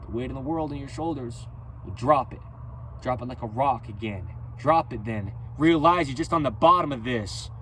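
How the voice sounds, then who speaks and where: A man rapping a loose freestyle in rhyme, driving each line with preacher-like emphasis. His voice sits in a middle register and often pushes toward a near-yell. Faint traffic hums outdoors behind him. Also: medium pitch, moderate pace, low-quality recording. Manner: rhythmic rhyming, preachy and emphatic; loud, near-yelling delivery. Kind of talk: spoken-word freestyle rap.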